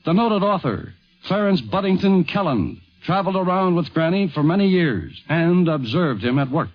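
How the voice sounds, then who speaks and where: A man narrates a vintage 1950s radio documentary from a script. His voice sits a little high, over music, in a dull old broadcast recording. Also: animated, moderate pace. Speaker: narrator and host.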